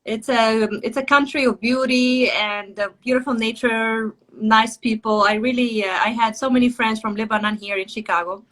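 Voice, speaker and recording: A woman's voice: fairly high pitch, steady tone, moderate pace, hardly any pauses, a bit bright, low-quality recording. A woman hosting a casual live video chat, speaking in a gushing, effusive way. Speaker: talk host.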